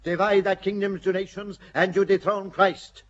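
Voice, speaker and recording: An actor playing a calculating bishop in a radio play, speaking in a measured, persuasive way with a husky voice. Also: male voice, high voice, level tone, muffled recording, close to an everyday voice. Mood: fervent. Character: shrewd older churchman, a bishop, calculating.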